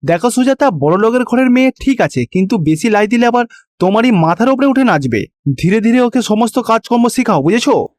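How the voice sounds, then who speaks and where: A man acts out a shrewd older village father-in-law in a cartoon. He reasons and admonishes in a high, dry-sounding voice. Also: expressive, brisk, a little mellow, no room sound. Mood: scolding.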